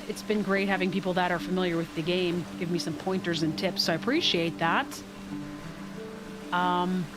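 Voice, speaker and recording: A woman streaming a game live, thinking aloud as she plays and answering her chat. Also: medium pitch. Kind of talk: casual live gameplay commentary. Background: game music.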